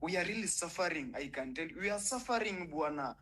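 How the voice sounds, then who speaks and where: A man's voice: a little high, expressive, fairly quick, a bit bright. A young man with a Kenyan accent, giving an impassioned, indignant speech in an online audio room. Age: young adult. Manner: fiery, indignant, swearing. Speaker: caller in an online audio space.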